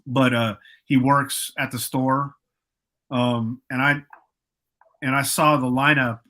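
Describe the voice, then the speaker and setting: A man in his late forties, a guest telling stories in a relaxed, rambling way with many fillers and restarts on a podcast. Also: mid-range voice, slow pace. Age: 40s. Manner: laid-back, rambling, lots of fillers and restarts. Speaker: guest, producer and artist. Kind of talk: casual podcast interview storytelling.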